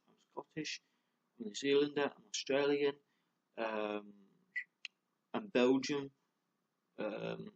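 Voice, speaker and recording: A man's voice: mid-range voice, poor audio. A man vlogging a rambling, heated monologue, full of fillers, stumbles and repeated words, with plenty of swearing. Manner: swears a lot and gets heated. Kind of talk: ranting monologue to camera.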